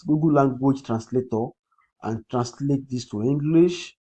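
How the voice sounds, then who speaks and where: A man with a Nigerian accent, a native Yoruba speaker, hosting a casual crypto livestream in a husky voice, repeating phrases and often checking in with "okay". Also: medium pitch, a little slow, mellow. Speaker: crypto trading livestream host. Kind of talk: casual livestream commentary, answering comments.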